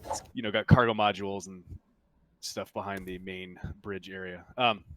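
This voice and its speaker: A man running a tabletop roleplaying game as game master, narrating in a halting, self-correcting way. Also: low voice, steady tone, noisy background.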